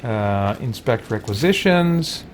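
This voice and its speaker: A man's voice: mid-range voice, lively intonation, slow, noisy background. A man giving casual gameplay commentary as a streamer, thinking aloud in a rambling, conversational way and chatting with viewers. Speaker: streamer, let's play host. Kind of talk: casual gameplay commentary, reading and answering chat.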